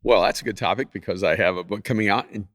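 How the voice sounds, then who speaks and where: A man in his sixties, an author talking on a podcast, speaking in a measured, reflective way. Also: on the low side, a bit bright, dry recording, clean studio sound. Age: 60s. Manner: measured, reflective, laughs easily. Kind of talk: casual podcast chat.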